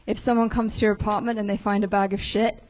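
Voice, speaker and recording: A young woman, from Hong Kong, doing a deadpan stand-up set in a comedy club. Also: medium pitch, fast, noisy background. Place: comedy club stage before a live audience.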